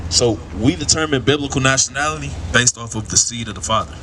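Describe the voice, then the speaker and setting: A man's voice: mid-range voice, fast talker, crowd noise. A man preaching on the street to a passerby, lecturing and asking "right?" over and over. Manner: lecturing, asks "right?" again and again. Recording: street noise, mic at a distance.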